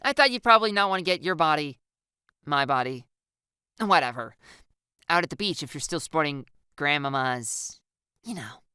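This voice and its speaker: A woman voicing a playful, excitable young woman with a bubbly, teasing, theatrical manner in an audiobook story. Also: sits low, moderate pace, pauses often, clear, resonant tone, no room sound, clean studio sound, pitched above the performer's own voice. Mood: cheeky, coaxing. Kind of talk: audiobook character dialogue.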